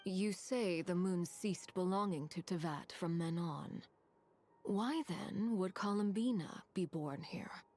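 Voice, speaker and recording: A woman's voice: on the low side, takes it slowly, darkish tone. A woman playing a serious, businesslike investigator in a video game, formal and direct in her speech. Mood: grave. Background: background music.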